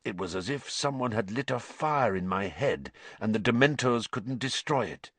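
A man voicing a gaunt, bitter fugitive with a croaky, hushed voice and a grave, intense manner in a story. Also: fairly low pitch, animated, normal speed, no room sound, exaggerated voice. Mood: haunted, intense.

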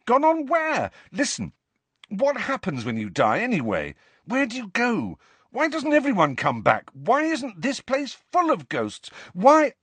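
A man's voice: on the high side, lively intonation, measured pace, hardly any pauses, fairly bright tone, dry recording, pitched above the performer's own voice, exaggerated voice. A man voicing an urgent, stubborn teenage boy wizard in an audiobook, insistent and full of emphatic questions. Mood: exasperated. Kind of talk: audiobook character voice.

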